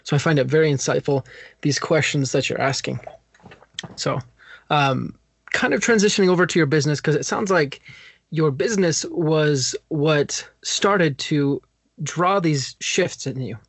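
A man's voice: fairly high pitch, a little slow, vocal fry, husky, dry recording. A man hosting a podcast who interviews his guest thoughtfully, with hesitant restarts. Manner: hesitant, thoughtful, repeats words. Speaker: podcast host.

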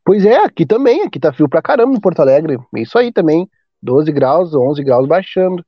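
A man from Brazil, a teacher hosting a podcast in casual chat, talkative and quick to laugh. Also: on the high side, big pitch swings, husky, dry recording, lo-fi sound. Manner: chatty, laughing often, drawn-out asides.

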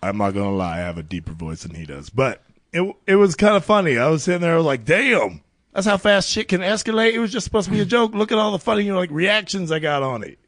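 A man's voice: big pitch swings, quick pace, a bit bright, dry recording, studio quality. Laid-back young man telling stories. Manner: laid-back storyteller, acts out quotes in a deep voice. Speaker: podcast co-host. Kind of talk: casual podcast banter.

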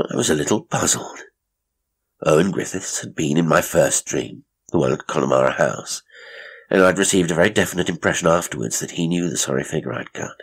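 A man's voice: rumbling low, creaky, husky, full character voice. A man playing a haughty aristocratic statesman. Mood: uneasy. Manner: haughty, disdainful storytelling of a shameful dream. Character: proud, rattled aristocratic statesman, man of middle years. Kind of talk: audiobook character reading.

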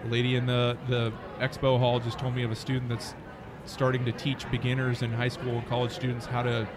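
A man's voice: mid-range voice, monotone, brisk, noisy background. An American man speaking conversationally as a startup founder being interviewed. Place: busy conference venue near an expo hall. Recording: busy crowd chatter behind the voice.

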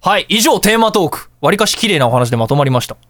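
A man hosting a casual radio talk show, telling anecdotes in an animated way and acting out dialogue and reactions. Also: sits high, quick pace, no echo, studio quality.

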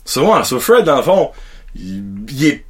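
Young guy hosting a podcast, husky voice, pitch jumps around a lot. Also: measured pace. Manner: animated, mixes English words into French. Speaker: podcast host. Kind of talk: casual podcast chat.

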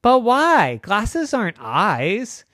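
A storyteller voicing a clever, teasing little girl, in a high, bouncy, playful voice. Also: huge pitch swings, very slow, fairly bright tone, dry recording, studio quality, exaggerated voice. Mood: excited.

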